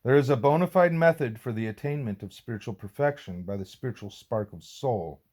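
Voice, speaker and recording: Measured male reader of spiritual writings. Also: mid-range voice, expressive, darkish tone. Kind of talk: read-aloud audiobook narration of a formal religious letter.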